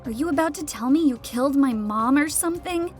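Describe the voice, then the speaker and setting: Woman playing defiant young girl, higher pitched, casual, blunt, sardonic, game parody, soft music underneath. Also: animated, a little fast. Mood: curious, sympathetic. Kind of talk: scripted animated game parody dialogue.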